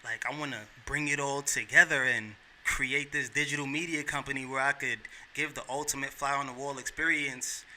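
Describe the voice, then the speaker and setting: A man's voice: medium pitch, steady tone, normal speed, hardly any pauses, sharp tone, a little hiss or hum, rough recording. A man in his thirties from New York, a podcast guest talking in an earnest, heartfelt and slangy way. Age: 30s. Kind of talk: casual chat.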